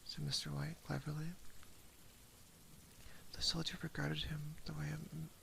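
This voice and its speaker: Male ASMR storyteller: close-miked, breathy half-whisper, strong vocal fry, subdued intonation. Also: a little high, completely flat delivery, normal speed, bright, forceful tone, a little hiss or hum. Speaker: ASMR streamer reading a story aloud. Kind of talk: ASMR reading of a classic horror short story. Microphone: binaural ASMR microphone, very close.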